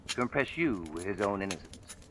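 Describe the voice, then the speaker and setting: A man playing a shrewd, mocking, sinister accuser in a vintage radio drama, speaking in a suave, deliberate, taunting way. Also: lowish voice, animated, lightly acted. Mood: cool accusing. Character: shrewd, mocking male accuser, secretly a sinister returned spirit. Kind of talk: 1940s-50s radio drama acting. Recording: noisy, crackly vintage radio recording.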